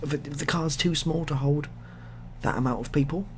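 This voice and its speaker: A man telling improvised stories in a soft-spoken ASMR style, with a rambling, repetitive, deadpan delivery. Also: fairly high pitch, moderate pace. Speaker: ASMR storyteller. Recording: clearly audible background noise.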